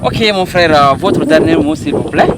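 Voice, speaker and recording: Male interviewer, courteous and halting, outdoor wind noise throughout. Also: on the high side. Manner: polite, with many hesitation sounds.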